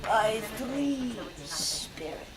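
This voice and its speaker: Woman doing a slow solemn ghost. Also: a little high, expressive. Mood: solemn, grave. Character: solemn ghost of a dead miser. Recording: distant mic, noisy room.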